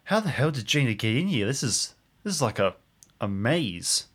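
Man playing a rattled, insistent young park ranger, animated. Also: medium pitch, normal speed. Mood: agitated, exasperated. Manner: agitated, questioning, repeats himself. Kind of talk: improvised audio drama roleplay.

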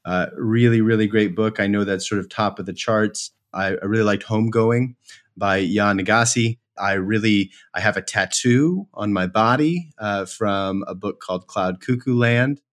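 A man with a fairly low voice speaks as a podcast guest. He is enthusiastic and self-deprecating, telling personal stories in a conversational, stop-and-start way. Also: moderate pace, a bit bright. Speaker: podcast guest, company co-founder. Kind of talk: casual chat, personal storytelling.

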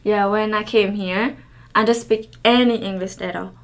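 A young woman from Thailand, a native Karen speaker, answering interview questions in halting English, often pausing to search for words. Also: mid-range voice, fairly even intonation. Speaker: interview guest.

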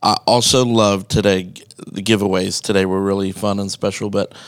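A man's voice: low-pitched, little pitch movement, brisk, clean tone, no room sound. A man from the UK co-hosting a podcast, chatting upbeat and often laughing. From United Kingdom. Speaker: podcast co-host. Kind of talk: casual conversation.

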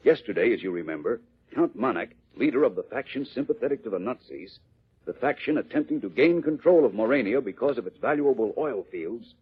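A man narrates an old adventure serial with theatrical urgency. His voice is very raspy and swings widely in pitch. The vintage radio recording is muffled. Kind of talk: dramatic scripted narration, 1940s radio.

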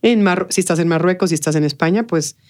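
A woman with a Mexican Spanish accent talking casually as an interview guest, expressive and colloquial, often checking in with little tag questions. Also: lowish voice, quick pace.

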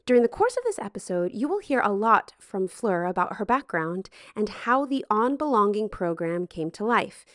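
A woman's voice: mid-range voice, sing-song, moderate pace, hardly any pauses, a bit bright, no room sound. Woman host reading a gushing intro, dry close sound. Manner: enthusiastic, effusive. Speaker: podcast host. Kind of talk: scripted introduction, then casual interview.

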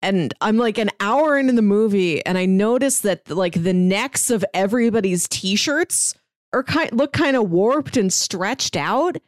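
A woman's voice: mid-range voice, expressive, a little slow, no echo, clean studio sound. A woman co-hosting a podcast, discussing films casually in a conversational, lightly amused way. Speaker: podcast co-host reviewing films.

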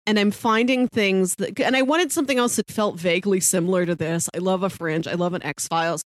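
A woman's voice: medium pitch, brisk, dry recording. A woman co-hosting a podcast, discussing TV casually and expressively, acting out imagined quotes as she goes. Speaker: podcast co-host.

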